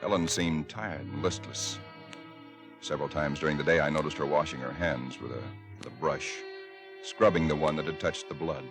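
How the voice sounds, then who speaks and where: Casting: earnest, uneasy husband, very low voice, vintage radio thriller narration over music. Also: male voice, steady tone, normal speed. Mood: grave, uneasy. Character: frightened, earnest husband recounting eerie events. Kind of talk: 1940s radio acting, suspense narration.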